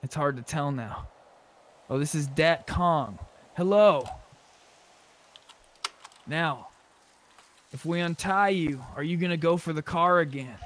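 A man's voice: a little high, takes it slowly, long pauses, fairly bright tone, a little hiss or hum. A man playing an online roleplay game, chatting casually with a bantering, mock-tough manner and some swearing.

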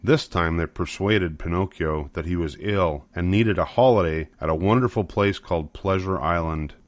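A man's voice: sits low, normal speed, no echo. An older man reading a children's story aloud as scripted narration. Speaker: storybook reader.

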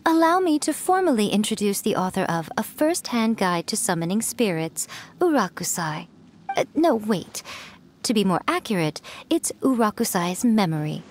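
A woman voicing a sly, playful female fox priestess who teases in a smooth, poised manner. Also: medium pitch, big pitch swings, moderate pace, a little hiss or hum, lightly acted.

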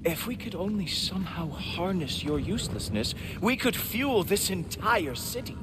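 An actor playing a smug, arrogant Norse god in a video game, mocking and haughty. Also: male voice, high voice, sing-song, moderate pace. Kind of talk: video game cutscene acting. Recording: noisy ambience behind dialogue.

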